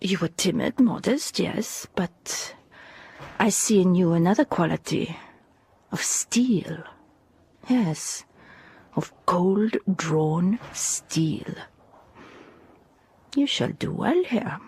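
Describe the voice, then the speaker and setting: A woman playing a shrewd, commanding middle-aged headmistress with a French accent, clipped and measured, in a period radio drama. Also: fairly low pitch, expressive, darkish tone, husky, heavily acted. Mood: appraising, approving.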